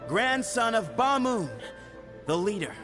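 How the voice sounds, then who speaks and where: An actor playing an earnest, self-assured young heir of a desert temple in a video game, composed and declamatory. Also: male voice, sits high, very animated, unhurried. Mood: earnest, proud. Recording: prominent music behind the voice.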